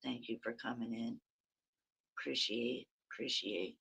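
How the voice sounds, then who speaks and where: A woman with a very raspy voice, hosting a rambling, chatty early-morning livestream from her phone and talking to viewers by name. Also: very deep voice, fairly even intonation, a little slow, a bit bright. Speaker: livestream host.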